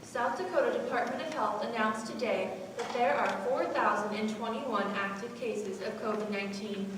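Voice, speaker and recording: A woman's voice: a little high, moderate pace, lightly acted. A woman playing a formal newsreader in a school stage play. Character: formal female newsreader giving pandemic figures. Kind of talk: news announcement within a stage play. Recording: echoey hall from far off, noisy.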